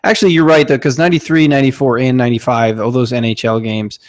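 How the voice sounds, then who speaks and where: A man's voice: mid-range voice, steady tone, fast talker. A man in his thirties from Florida, co-hosting a podcast and reminiscing in a chatty, casual way. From Florida, USA. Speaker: podcast co-host. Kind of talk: casual chat.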